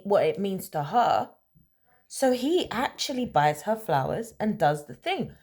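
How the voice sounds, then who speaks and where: A woman telling a story in a casual, conversational way, animated and emphatic, often checking in with rhetorical questions. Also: medium pitch. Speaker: storyteller, host of a story-time series.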